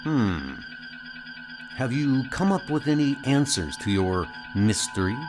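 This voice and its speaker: A man's voice: medium pitch, big pitch swings, slow pace, over music. A man voicing a caring, authoritative starship captain in a comic audio drama, speaking directly. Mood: commanding.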